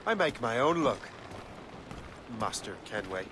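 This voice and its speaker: An actor playing a determined, self-reliant Templar hunter in a video game, terse and resolute in delivery. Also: male voice, medium pitch, big pitch swings, a little slow. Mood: confident. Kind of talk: dramatic video game acting. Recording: clear background noise.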